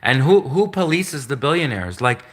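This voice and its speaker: A man hosting a live stream, talking in a rambling, earnest way, often repeating himself, with a soft voice that some hear as gender-ambiguous. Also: medium pitch, big pitch swings, moderate pace. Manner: repetitive, earnest, restates points often; greets viewers and reads comments aloud.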